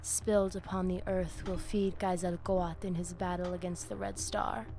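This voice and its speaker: A woman voicing a proud, scornful vampire war leader in a video game, measured and oratorical, dropping in Spanish words. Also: on the low side, little pitch movement, music in the background, lightly acted. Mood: solemn, rousing.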